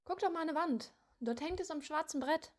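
An actress playing a cheeky, casual police officer in a radio play. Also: female voice, high-pitched, a little fast, natural voice. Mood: teasing.

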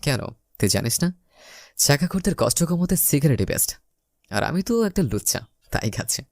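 A man's voice: on the high side, big pitch swings, fairly quick, creaky, a little mellow, no echo, clean studio sound. A man narrating a scripted first-person romantic story, voicing the dialogue as he goes.

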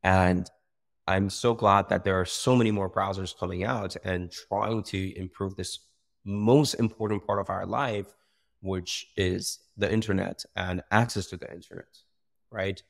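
A young man from Iran, a startup founder talking casually on a video call, animated, with many fillers and restarts. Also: sits low, unhurried, gravelly. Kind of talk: casual interview. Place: bright room by a window.

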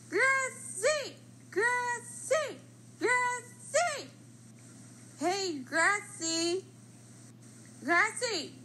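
An excitable cartoon basketball , voiced by a woman, calls out loudly and insistently. The voice is very high and bright, swinging widely in pitch, with some noise behind it. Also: heavily acted. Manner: exclamatory, insistent calling. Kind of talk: animated character voice lines.

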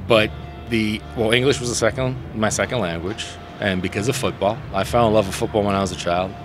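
A middle-aged man from southern Brazil, speaking English as a second language, talks low and loose with lots of restarts. He sits on an outdoor rooftop talking into a handheld mic. Faint city traffic hums in the background. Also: fairly quick. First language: Portuguese. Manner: animated, rambling storyteller with many restarts. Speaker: interpreter guest. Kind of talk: casual interview talk. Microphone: handheld mic held by interviewer.

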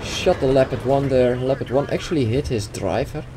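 A man's voice: mid-range voice, normal speed. Dutch-accented man commentating a game. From Netherlands. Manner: hesitant, with restarts and fillers. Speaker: gaming YouTuber. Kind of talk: gameplay commentary over a replay. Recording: game noise behind the voice.

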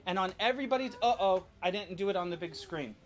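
A man's voice: sits high, fast talker. A middle-aged man, a father casually directing his family and explaining steps as he goes. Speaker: father leading a family activity and app demonstration. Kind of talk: casual instructional family vlog. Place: family home.

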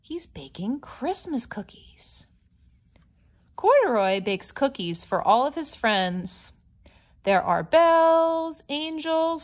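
A woman reading a picture book aloud to young children, explaining simply like a teacher, with expressive stretched words. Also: fairly high pitch. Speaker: storybook read-aloud presenter.

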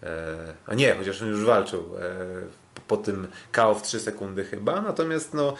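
A man recapping sports as a podcast host in a halting way, often repeating words. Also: fairly low pitch, expressive. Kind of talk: casual sports recap commentary.

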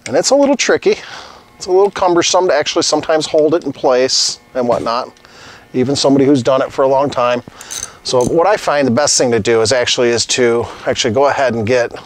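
A middle-aged master plumber giving a casual, practical tutorial on a lapel mic while he works with tools at a desk. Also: mid-range voice. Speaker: master plumber teaching on his channel. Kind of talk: hands-on tutorial demonstration. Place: indoor studio set at a desk.

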